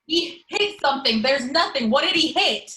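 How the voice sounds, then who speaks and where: A woman's voice: sits high, expressive, moderate pace, pushed and bright, reverberant. A woman reading the part of an earnest, level-headed young man in an amateur horror screenplay table read. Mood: alarmed.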